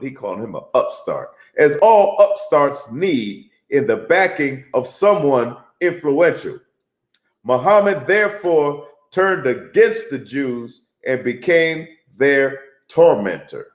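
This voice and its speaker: A man teaching from the Bible in a casual, repetitive way, often tagging lines with "man" and reading scripture aloud in a raised, slower voice. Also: medium pitch, sing-song, echoey room. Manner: tags lines with "man", repeats himself. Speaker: religious teacher. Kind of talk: informal Bible teaching and commentary.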